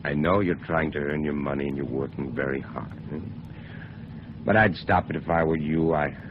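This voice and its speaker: A very deep male voice plays a smug small-town boss from 1950s radio noir. He makes veiled threats with little hesitations, on a muffled old recording with clear noise. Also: moderate pace. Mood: veiled menace.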